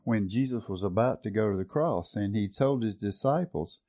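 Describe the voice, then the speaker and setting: A man's voice: fairly low pitch, normal speed, mellow. A man teaching a Bible study session. He talks in an earnest, reflective way, reads and compares scripture translations, and often repeats words while he finds the phrase.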